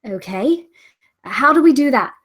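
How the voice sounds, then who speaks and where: A woman's voice: high-pitched, expressive, a little slow, a bit bright, full character voice. A woman reading the part of a frightened, questioning young female character at a screenplay table read, in a tentative, anxious way.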